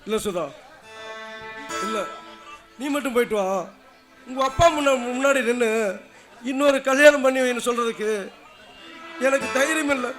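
A man's voice: extremely high pitch, moderate pace, pauses often, over music. A man playing a tender, devoted husband, speaking earnestly and coaxingly in a melodrama. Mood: earnest, reluctant. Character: tender, devoted husband consoling his wife. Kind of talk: melodramatic Tamil drama acting.

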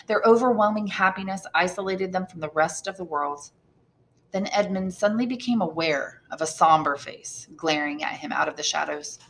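A woman reading a classic novel aloud as an expressive storyteller. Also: lowish voice, creaky. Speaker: audiobook reader, English teacher. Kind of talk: expressive scripted novel narration.

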